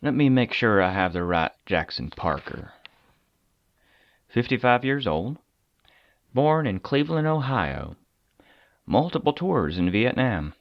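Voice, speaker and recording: An actor playing a weary, persuasive government agent in an audio drama, talking conversationally with deliberate dramatic pauses. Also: male voice, medium pitch, big pitch swings, dry recording, highs cut off. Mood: brisk, wry. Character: weary, persuasive male agent of a secret containment agency.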